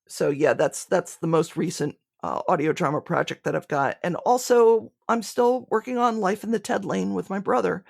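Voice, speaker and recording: A woman's voice: lowish voice, normal speed, gravelly, few pauses, dry recording, studio quality. A woman speaking conversationally as a podcast guest, an audio drama creator explaining things in interview answers.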